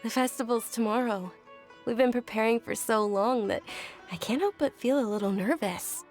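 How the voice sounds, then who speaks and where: A woman playing a gentle, gracious young noblewoman in an anime game, speaking politely and considerately. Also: a little high, moderate pace, close to an everyday voice. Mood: eager. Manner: polite, soft-spoken, considerate. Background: background music.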